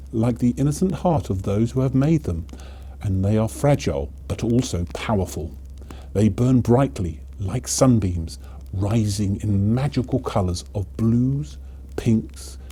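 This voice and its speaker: A man's voice: fairly low pitch, mellow, slightly rough. A man voicing a confident young female elf in a storybook, giving an earnest, solemn speech. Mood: serene confidence.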